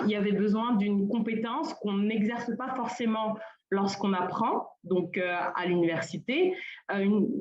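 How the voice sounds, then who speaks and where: A woman's voice: mid-range voice, a little mellow. A young woman from Senegal giving an informal conference talk, hesitant and full of fillers and restarts. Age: young adult. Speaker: colloquium panelist. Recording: roomy, dull lo-fi call audio.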